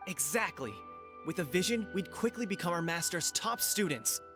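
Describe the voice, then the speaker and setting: Higher-voiced, earnest young trainee. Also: male voice, animated, moderate pace. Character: earnest young male martial arts disciple, hopeful striver. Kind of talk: video game dialogue acting. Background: game music.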